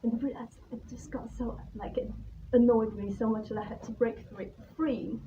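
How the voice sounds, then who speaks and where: A woman speaks to a gathering about herself and her work, hesitant and self-conscious but candid. Her voice is mellow and relaxed in tone. The recording is dull and distant, with faint background noise. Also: a little high, moderate pace. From Taiwan. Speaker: artist-in-residence guest speaker. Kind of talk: informal spoken presentation about own life and work. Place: live talk at an art venue.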